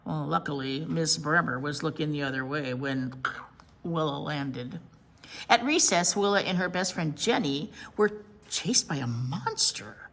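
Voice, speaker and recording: A woman's voice: sits low, expressive, measured pace, fairly bright tone. A woman reading a picture book aloud expressively as a children's storytime presenter.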